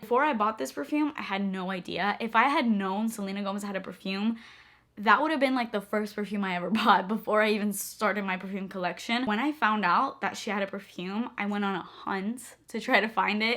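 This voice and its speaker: A young woman vlogging at home about perfumes, gushing and chatty with lots of "like" fillers, her voice a little hoarse. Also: medium pitch, a bit bright. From moved to the U.S. as a child. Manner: bubbly, gushing, many "like" fillers. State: slightly hoarse. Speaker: beauty vlogger. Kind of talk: casual chatty vlog.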